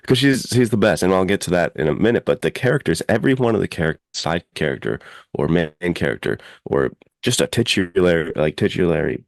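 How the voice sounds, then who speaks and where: Very deep, gravelly man. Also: animated, fairly quick, no echo, studio quality. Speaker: podcast co-host and adventure fiction writer. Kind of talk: casual podcast discussion.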